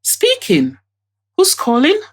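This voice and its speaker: A woman voicing an effusive, excitable female family friend in an audio drama, exclaiming as she talks. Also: very high voice, slow, voice pushed up, full character voice. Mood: curious. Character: excitable older woman, late mother's long-lost friend, effusive.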